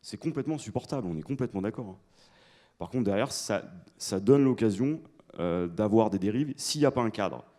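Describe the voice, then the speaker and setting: A man speaking as a conference panelist in a university lecture hall, explaining in a measured, emphatic way and putting questions to the audience. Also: on the low side, animated, warm tone.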